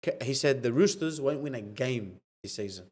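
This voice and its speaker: A man's voice: medium pitch, lively intonation, moderate pace, darkish tone, slightly rough. An Australian man hosting a podcast in casual sports banter, with a slangy, emphatic way of talking. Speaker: podcast host.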